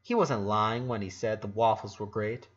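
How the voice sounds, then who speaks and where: A man's voice: lowish voice, normal speed, breathy edge, muffled recording. A man narrating a story aloud as an amateur audiobook reader.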